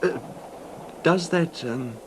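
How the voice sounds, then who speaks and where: An actor playing a courteous, besotted middle-aged rich husband in a 1970s radio drama, speaking haltingly with reflective pauses. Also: male voice, a little high, noisy background. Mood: nostalgic.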